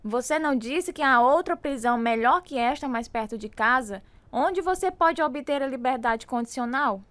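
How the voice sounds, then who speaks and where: An actress playing a caring, anxious wife in a radio drama, with a Brazilian accent and a reassuring, pleading way of talking. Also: female voice, high-pitched, animated, normal speed, a bit bright, no echo. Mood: encouraging. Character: caring, anxious, encouraging wife of a troubled man.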